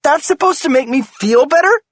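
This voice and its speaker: A man's voice: on the high side, lively intonation, slow pace, breathy edge, dry recording, voice pushed up, heavily acted. A man voicing a betrayed wife in a screaming, tearful rage in an audiobook. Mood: furious. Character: furious betrayed wife, shrieking, adult woman. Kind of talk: audiobook character voice.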